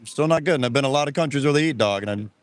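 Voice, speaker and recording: A man who travels the world debating casually with a street interviewer outdoors, talkative and quick to argue back. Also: mid-range voice, no room sound. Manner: talkative, argumentative, chuckling. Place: outdoors at a beach.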